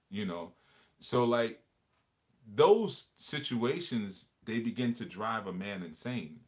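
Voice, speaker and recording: Man, low-pitched voice, livestream host, earnest, reflective, repeats phrases. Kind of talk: casual livestream discussion.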